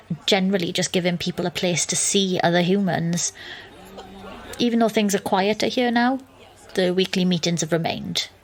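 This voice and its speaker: An actress playing an exasperated, wry young woman, narrating and talking in an audio drama. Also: lowish voice. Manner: dry, sarcastic.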